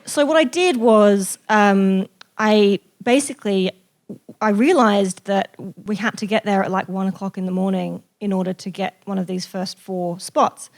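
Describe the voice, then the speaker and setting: Woman, mid-range voice, chatty guest, casual animated storytelling. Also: medium pitch, moderate pace. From raised in Australia. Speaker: guest journalist and author. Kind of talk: casual spoken anecdote to an audience.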